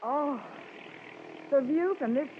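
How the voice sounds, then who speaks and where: An actress playing an inquisitive detective's companion, curious and polished in 1940s radio style. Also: female voice, high-pitched, takes it slowly, over loud music. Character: bright, inquisitive young female detective's companion. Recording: dull old radio, noisy aircraft ambience.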